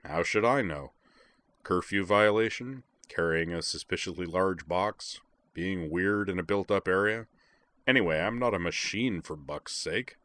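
Brawny storybook big guy, male reader acting: lowish voice, wry, plain-spoken, good-natured. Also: measured pace, fairly bright tone, no echo. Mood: wry, grumbling. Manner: wry, matter-of-fact.

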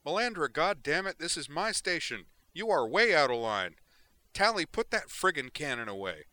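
A man voicing a gruff male security chief who bellows orders and roars at everyone. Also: medium pitch, very animated, fast, no room sound, pitched above the performer's own voice. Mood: bellowing. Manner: bellowing, shouting.

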